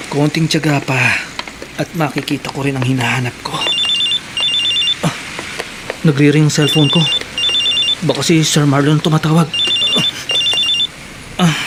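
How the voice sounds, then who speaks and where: An actor playing a humble, eager young errand worker and devoted son in a Tagalog radio drama. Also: male voice, fairly high pitch, very noisy, lightly acted. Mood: weary, patient. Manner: deferential, earnest.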